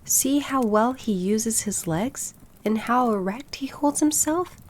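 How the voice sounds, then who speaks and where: Woman voicing a fond, bossy mother duck, lively pitch. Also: takes it slowly. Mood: appraising, proud. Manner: fussy, instructive, exclamatory. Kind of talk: storybook character voice.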